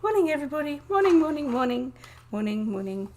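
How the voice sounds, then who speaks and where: A woman from England, chatting casually to viewers on a live stream from her desk, croaky and sniffly with a cold, often laughing. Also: high-pitched, very animated, quick pace, darkish tone. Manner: chatty, giggly, greets viewers by name. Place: home desk indoors.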